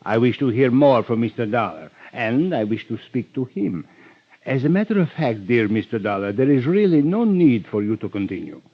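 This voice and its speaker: An actor playing a suave, ruthless criminal mastermind with courtly, flowery politeness, flattering and menacing in a golden-age radio drama. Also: male voice, medium pitch, moderate pace. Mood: courteous, commanding. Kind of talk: 1950s radio drama acting. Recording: dull vintage radio recording.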